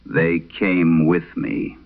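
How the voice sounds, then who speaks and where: A man playing a weary, haunted soldier husband back from the dead, speaking in a halting, sorrowful way in a horror audio drama. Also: deep voice, flat delivery, no echo. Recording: dull vintage-radio band-limited sound.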